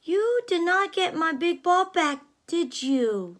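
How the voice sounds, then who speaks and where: A woman's voice: very high voice, animated, takes it slowly, a bit bright, heavily acted. A woman voicing a small, earnest young female pig in a children's picture book read-aloud, speaking in an eager, sing-song way.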